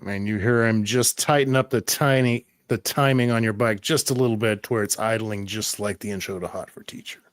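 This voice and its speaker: A man's voice: on the low side, fairly even intonation, fairly quick, hardly any pauses, dry recording, clean studio sound. A man narrating scenes as the game master of a tabletop roleplaying game.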